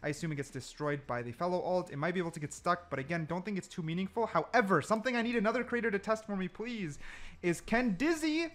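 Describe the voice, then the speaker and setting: A man's voice: sits high, lively intonation, quick pace, a bit bright. A man giving casual, slangy gameplay commentary, thinking aloud as he goes. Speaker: gaming content creator.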